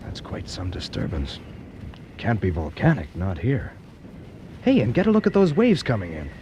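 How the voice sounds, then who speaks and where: Young student, radio drama, low pitch, very lively intonation, wry and practical, noisy outdoor-sounding scene. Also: male voice, moderate pace, lo-fi sound. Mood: intrigued. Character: young male science student, dryly joking, practical, eager.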